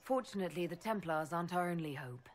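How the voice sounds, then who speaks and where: An actress playing a calm, direct young elf heroine in a video game. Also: female voice, on the low side, fast. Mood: calm, probing. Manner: direct, questioning.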